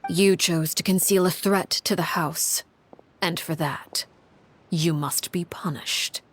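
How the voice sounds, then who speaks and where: A woman voicing a cold, commanding crime-family matriarch in a game, speaking in a measured, formal, stern way. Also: on the low side, fairly even intonation. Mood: quietly threatening. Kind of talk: dramatic video game voice acting.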